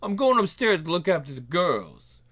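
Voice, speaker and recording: A man's voice: high-pitched, sing-song, normal speed, heavily acted. A man playing a crude, blustering mafia don with a sneering, menacing manner. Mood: barking orders. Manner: sneering, swearing, forceful. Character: brash male mob boss, crude, menacing, blustering.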